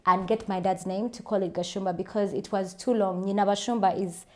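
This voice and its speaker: A woman's voice: medium pitch, steady tone, fairly quick. A woman with a Ugandan accent, chatting casually and self-assuredly as she answers viewer questions in a vlog. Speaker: vlogger.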